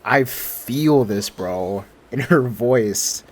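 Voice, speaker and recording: A man's voice: mid-range voice, lively intonation, very slow, a little mellow. A young man giving casual, slangy reaction commentary on a game, emotionally invested and given to joking. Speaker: gaming video creator. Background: game music.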